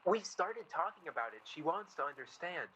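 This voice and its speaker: Actor playing an earnest, very bright-voiced young man. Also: a little high, very animated, lo-fi sound, a little hiss or hum. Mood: worried. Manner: earnest, defensive, halting. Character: earnest, exasperated young man, defensive then contrite. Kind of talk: radio play dialogue, naturalistic period drama.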